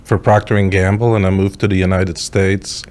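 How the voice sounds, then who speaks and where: Middle-aged male podcast guest, Belgian accent, lowish voice, conversational storyteller who acts out his thoughts and questions. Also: little pitch movement, moderate pace, darkish tone.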